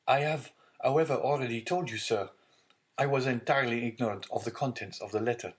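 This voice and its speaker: A man's voice: medium pitch, a little fast, voice pushed up, natural voice. A man voicing an earnest, anxious young sailor in an audiobook, polite and proud, with a deferential, pleading manner. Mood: alarmed. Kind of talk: dramatic audiobook character dialogue.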